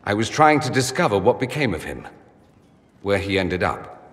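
Vain witty mage, lively intonation, articulate, theatrical, fantasy game, long reverb. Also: male voice, fairly low pitch, normal speed. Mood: mournful, reflective. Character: witty, vain, wounded male mage from a noble family. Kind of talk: dramatic fantasy game dialogue.

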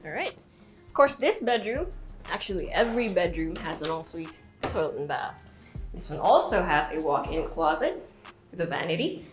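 A woman's voice: on the low side, lively intonation, normal speed, faint music behind. A young woman hosting a walking house tour, chatty and upbeat, recorded with a clip-on mic inside a large house. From Philippines. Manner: upbeat, chatty, laughs easily. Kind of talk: walking real-estate tour narration. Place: large house interior, rooms and balconies.

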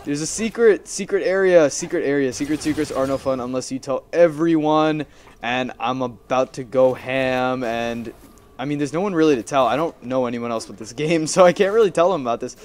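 A man's voice: medium pitch, brisk, a little mellow. A young man giving casual, rambling gameplay commentary, chatty and playfully repeating his phrases. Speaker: gaming video host.

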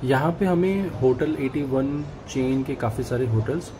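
A man narrating his travel vlog in a calm tour-guide manner, mixing in English phrases. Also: medium pitch, a little slow, noisy background. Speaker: travel vlogger. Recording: voice over loud music.

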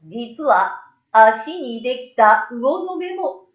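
A woman voicing a small, curious, easygoing old yokai in a storybook, with a folksy old-man way of talking and drawn-out word endings. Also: fairly high pitch, expressive, reverberant, muffled recording. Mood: matter-of-fact. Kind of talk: storybook read-aloud.

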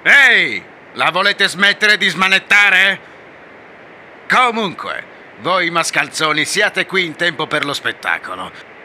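Guy doing a boastful pirate fox robot, bright sharp voice, slow and swingy, faint hiss. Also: high voice, very animated, exaggerated voice. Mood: swaggering. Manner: bellowing, laughing pirate bluster. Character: swaggering male pirate fox animatronic, boastful. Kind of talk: fan dub voice acting.

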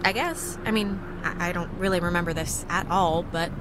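A woman's voice: on the low side, moderate pace, noisy background, natural voice. A young woman playing a dry, sardonic American teenage girl with a hesitant, casual delivery in a video game. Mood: wry. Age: young adult. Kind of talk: naturalistic video game dialogue.

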